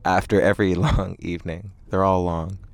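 Male band frontman, casual interview, deep gravelly-edged voice, easygoing and measured. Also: level tone, no room sound. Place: inside a parked tour van.

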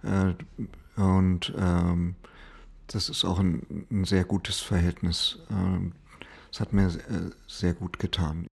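A middle-aged man with a slight Franconian accent, telling his personal story as an interview guest, hesitant with many pauses and fillers. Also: sits low, level tone, creaky, husky. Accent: slight Franconian German.

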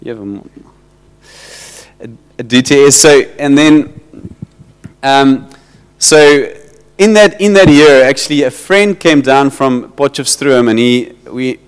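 A South African man preaching to his church in an informal, halting way, repeating words and slipping into Afrikaans. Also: mid-range voice, lively intonation, smooth. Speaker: pastor. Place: church hall. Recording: long hall reverb.

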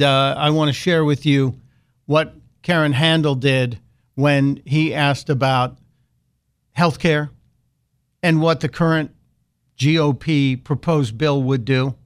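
A man hosting a talk radio show, delivering an opinionated monologue with sarcastic, mocking asides. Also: fairly high pitch.

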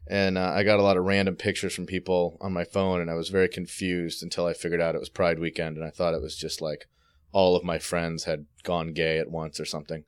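A man's voice: low-pitched, flat delivery, fairly quick, smooth. A man co-hosting a podcast in casual chat, frank and crude, rambling with frequent restarts. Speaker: podcast co-host.

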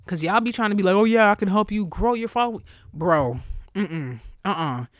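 A young woman hosting a solo podcast in a casual, chatty way, blunt and profane, her voice jumping high when she gets excited. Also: moderate pace, dry recording, clean studio sound. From New York area. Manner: profane, blunt asides to listeners. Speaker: podcast host.

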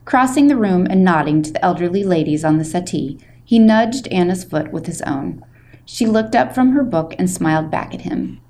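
A woman reading a book aloud as a storytelling host, with measured, expressive narration. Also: on the low side, a little hiss or hum.

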